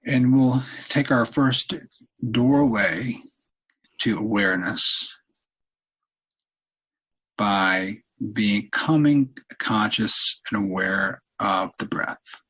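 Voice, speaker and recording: A man leading a guided meditation in a calm, unhurried, halting way with long pauses and a husky voice. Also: on the low side, poor audio. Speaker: meditation leader, podcast host. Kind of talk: guided meditation, softly spoken instruction.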